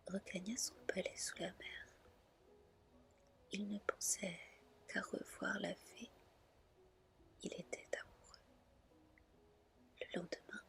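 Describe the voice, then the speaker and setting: A woman softly and gently reading a fairy tale as an ASMR storyteller. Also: medium pitch, gravelly. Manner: soft, gentle, unhurried narration.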